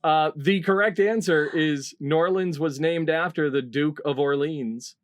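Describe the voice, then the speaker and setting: A man's voice: a little high, unhurried. A middle-aged man hosting a comedy panel quiz over a video call. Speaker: quiz show host.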